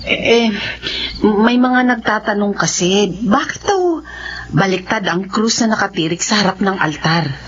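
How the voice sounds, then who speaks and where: A woman's voice: mid-range voice, unhurried, pauses often, breathy edge. A woman acting a mild, dutiful wife in a horror radio drama, conversational and deferential. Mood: deferential, uneasy. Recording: muffled audio with crowd murmur.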